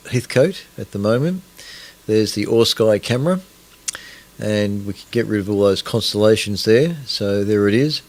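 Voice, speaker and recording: An Australian man hosting a casual astronomy radio broadcast, rambling and hesitant with many fillers as he sets things up. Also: on the low side. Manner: rambling, hesitant, many fillers, talks to himself while setting up. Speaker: radio host.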